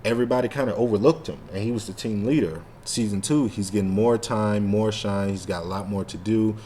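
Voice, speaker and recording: A man's voice: lowish voice, brisk, mellow, a little hiss or hum. A man co-hosting a casual fan podcast, talking in a rambling, slangy way with lots of "like". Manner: rambling, heavy use of "like" and slang. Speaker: podcast co-host.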